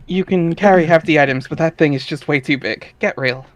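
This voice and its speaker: Higher-voiced man narrating, dry close sound. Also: fairly quick, no room sound. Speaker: narrator of a dramatic reading. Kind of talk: scripted second-person webcomic narration.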